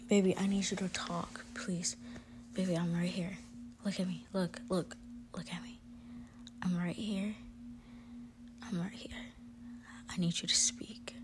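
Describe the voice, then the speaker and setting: Woman playing a tender young boyfriend for a listener roleplay, hushed and reassuring, slow delivery, faint hiss behind. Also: lowish voice, little pitch movement, close to an everyday voice. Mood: pleading. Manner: soft hushed whisper, reassuring. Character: gentle caring young male boyfriend.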